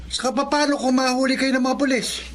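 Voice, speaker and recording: A young, easygoing youngest brother in a drama. His voice is high for a man, cheeky yet caring. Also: flat delivery, quick pace, fairly bright tone, slight background noise. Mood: chiding. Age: young adult. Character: carefree young dropout son, cheeky yet concerned brother. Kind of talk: Filipino drama acting.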